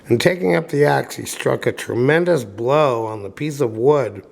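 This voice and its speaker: A man reading a children's story aloud as narrator, with deliberate dramatic pauses. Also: mid-range voice, vocal fry. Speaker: audiobook reader.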